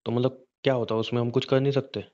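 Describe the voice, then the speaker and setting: A man's voice: medium pitch, little pitch movement, fast, darkish tone, close to an everyday voice. A man playing a hot-tempered, suspicious young husband who badgers bluntly with repeated questions in a conversational audio drama. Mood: confused, badgering. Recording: dull, lo-fi call-recording sound.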